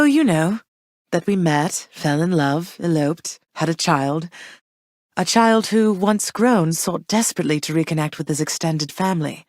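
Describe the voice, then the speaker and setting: A regal, low-voiced mother goddess played by an actress. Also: dry recording, studio quality, lightly acted. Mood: wry, playful. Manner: measured, formal, archaic phrasing. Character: warm, firm, regal mother goddess, gently wry. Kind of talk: video game voice acting.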